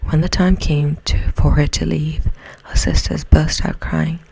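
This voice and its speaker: Female ASMR storyteller; slow, very breathy whisper, gentle and soothing. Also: low-pitched, little pitch movement, no echo. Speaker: bedtime story narrator.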